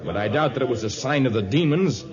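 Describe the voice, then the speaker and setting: An actor playing a bold, righteous jungle hero in a 1950s radio adventure, with formal, precise and imperious diction. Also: male voice, medium pitch, animated, quick pace, a crowd in the background, lightly acted. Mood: stern, probing. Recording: dull vintage radio recording.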